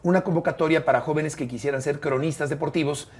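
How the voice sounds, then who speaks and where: A Mexican man in his fifties with a Mexican accent, a sports commentator telling stories in an interview, expressive and emphatic with frequent fillers. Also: a little high, quick pace, slightly rough. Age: 50s. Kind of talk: conversational interview storytelling.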